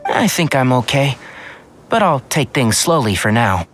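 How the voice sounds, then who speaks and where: A calm young male scholar from a video game. He has a mid-range voice and talks slowly, with careful, sensible phrasing. Music is clearly present behind him. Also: medium pitch. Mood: cautious. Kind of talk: animated video game voice acting.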